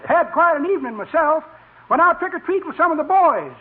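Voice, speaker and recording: A spry old codger talks in an extremely high voice, jaunty and folksy. The vintage radio comedy recording is thin and tinny, full of small-town mischief. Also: male voice, lively intonation. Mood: jaunty, mischievous. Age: older. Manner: folksy, jaunty, drawn-out storytelling. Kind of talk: 1940s radio comedy acting. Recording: tinny 1940s radio, faint noise.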